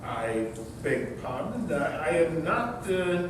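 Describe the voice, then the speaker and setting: An actor playing a wry, formal bachelor uncle in a stage play reading, choosing hesitant and courtly words. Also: male voice, fairly high pitch, sing-song. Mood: wry, halting. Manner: formal, hesitant, deliberate wording. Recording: echoey noisy hall from far off.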